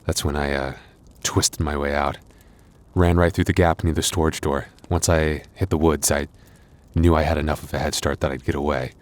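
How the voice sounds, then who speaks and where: Man acting as very deep-voiced, weary werewolf boyfriend. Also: fairly even intonation. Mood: tense, recounting. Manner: halting, sighing, softly earnest delivery. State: weary, hurting. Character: wounded, tender young male werewolf boyfriend, protective and vulnerable. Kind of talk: intimate boyfriend ASMR roleplay acting.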